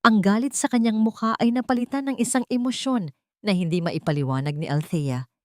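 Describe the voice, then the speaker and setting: A woman's voice: mid-range voice, a little fast, no echo, studio quality. A woman reading a dramatic love story as a scripted narration.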